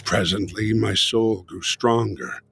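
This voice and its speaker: A man giving a dramatic reading of a poem, with a slow, brooding, theatrical delivery that drops to hushed low passages. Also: fairly even intonation, creaky, husky, soft background music. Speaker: reader.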